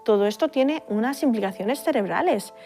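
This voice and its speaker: A woman adopted from India explaining in a measured way during a documentary interview. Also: on the high side, animated, mellow, soft background music.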